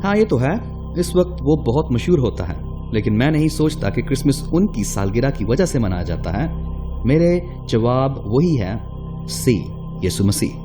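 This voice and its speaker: An actor playing a polite, thoughtful taxi driver who thinks aloud as a quiz show contestant in a radio play. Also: male voice, medium pitch, very animated, music in the background, lightly acted. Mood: earnest, pondering. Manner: pondering aloud, polite and deliberate.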